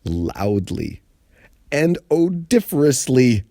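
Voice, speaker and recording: A man reading a humorous story aloud in an expressive, theatrical way with deliberate phrasing. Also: medium pitch, dry recording, studio quality.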